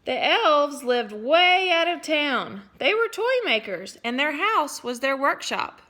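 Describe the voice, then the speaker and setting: A woman teacher reads a storybook aloud to young listeners, her voice bright and fairly high. She is expressive throughout and drops in playful asides as she goes. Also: slow. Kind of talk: storybook read-aloud for children.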